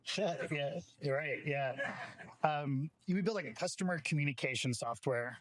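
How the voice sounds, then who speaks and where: A man's voice: fairly high pitch, normal speed, low-quality recording. A man giving an informal welcome speech as a company representative, conversational and peppered with "like". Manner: conversational, frequent filler "like".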